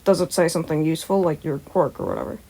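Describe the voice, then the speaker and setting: A woman's voice: deep voice, very animated, fast, vocal fry, warm tone, slightly rough, pitched below the performer's own voice, full character voice. Woman doing a tired guardian guy in a story, dry, matter of fact, not chatty. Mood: puzzled. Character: tired, terse adult male guardian.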